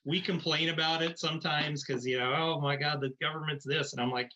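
A man's voice: on the high side, steady tone, brisk, sharp tone. An American man hosting a casual podcast interview, rambling informally and encouragingly, on a slightly dull recording. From United States. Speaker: podcast host.

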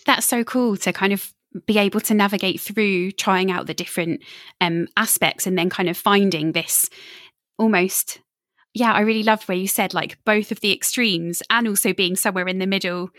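A woman interviewing a guest in a relaxed conversation, affirming and encouraging as she listens. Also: mid-range voice, moderate pace, dry recording, studio quality.

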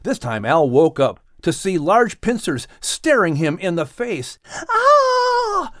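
A man reads a children's story with expressive, animated delivery. His voice is fairly high and moves widely in pitch, recorded close and dry. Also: unhurried, no room sound. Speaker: audiobook narrator. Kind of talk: scripted children's story narration.

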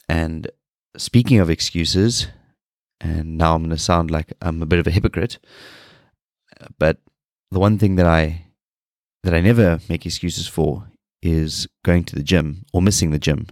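A man with a very deep voice, stuffed up and nasal from a cold, talking in a flat, low-energy way. He rambles and restarts his sentences as he hosts his own podcast. The recording is dry and close, with no room sound. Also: unhurried, no echo. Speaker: podcast host. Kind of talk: solo podcast talk.

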